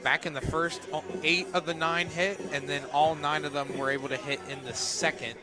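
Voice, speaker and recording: A man doing live play-by-play commentary of a softball game for radio, outdoors at a ballfield. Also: a little high, fairly even intonation, moderate pace, music in the background.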